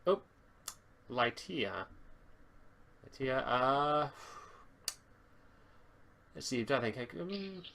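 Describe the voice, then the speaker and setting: A man speaking casually as game master of a tabletop roleplaying game, halting and repeating words as he thinks. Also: medium pitch, animated, sharp tone. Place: home online voice call stream.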